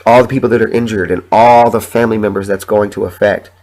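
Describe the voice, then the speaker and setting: A young man hosting his own podcast while sick with the flu, sniffling and talking in a halting, rambling, emotional way with plenty of swearing. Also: fairly low pitch, fairly even intonation, darkish tone, low-quality recording. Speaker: podcast host. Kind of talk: solo podcast monologue.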